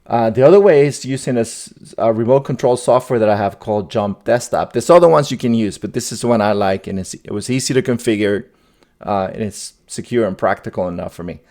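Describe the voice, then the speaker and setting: Low-pitched man giving a casual tech walkthrough. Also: brisk, warm tone. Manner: informal, thinking aloud, repeats phrases. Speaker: tech video host. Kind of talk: casual tutorial screen demo.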